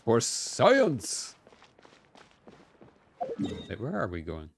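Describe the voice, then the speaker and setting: A man's voice: mid-range voice, huge pitch swings, drawn-out words, gravelly, a little hiss or hum. A man doing casual live commentary as a game streamer, chatty and playful. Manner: playful, chatty, mild joke swearing. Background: game music.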